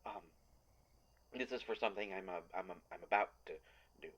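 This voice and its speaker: A man's voice: on the low side, fairly quick, fairly bright tone, muffled recording. A man playing a nervous, apologetic young student inventor in an audio drama, stammering and hesitating as he records a confession.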